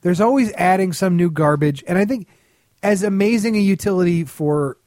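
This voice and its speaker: A man's voice: high voice, moderate pace, darkish tone. A man giving casual comedic podcast commentary as a guest co-host, sardonic and opinionated.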